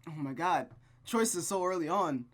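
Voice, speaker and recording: A man's voice: a little high, animated, measured pace. Rambling, self-deprecating man reading a game. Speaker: host reading a game aloud. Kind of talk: let's play reading with casual commentary.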